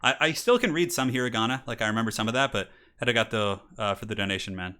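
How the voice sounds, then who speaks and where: Bright-sounding guy in his 30s streaming, chatting w donors then casting a strategy game match, gets hyped on intros. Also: fairly low pitch, lively intonation, fast, smooth. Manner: chatty, reads donations aloud, laughs often. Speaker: streamer and tournament caster. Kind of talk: casual live-stream chat and game commentary.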